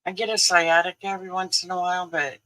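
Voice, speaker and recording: A man's voice: high voice, level tone, fast talker, bright, forceful tone, rough recording. A man in his sixties hosting a casual livestream, chatty and laughing often as he answers his viewers. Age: 60s. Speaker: livestream host.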